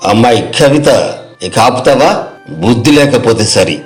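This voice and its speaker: A man's voice: medium pitch, lively intonation, normal speed, soft background music, lightly acted. A man voicing a stern, proud retired village teacher, an elderly father lecturing his children in an acted moral story. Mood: stern, scolding. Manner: scolding, lecturing.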